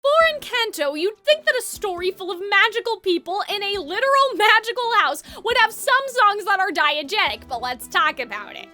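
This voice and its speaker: Very high, bright-voiced woman sharing commentary. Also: sing-song, normal speed, few pauses. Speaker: video essay host. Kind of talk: opinionated video essay commentary. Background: background music.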